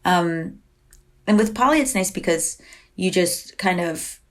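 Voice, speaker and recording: Lady guest on a podcast, kinda low voice, says "like" constantly, acts out little convos with herself, laughs a lot. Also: animated, unhurried. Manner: chatty, heavy use of "like", acts out quoted exchanges; frequent laughs and restarts. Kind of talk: candid conversational interview.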